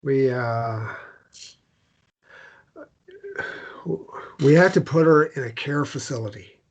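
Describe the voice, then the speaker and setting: An actor playing a guilt-ridden married man in a drama table read, speaking in a halting, self-loathing way. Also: medium pitch, lo-fi sound, close to an everyday voice. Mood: subdued, sad. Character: guilt-ridden married man, caregiver to his ailing wife.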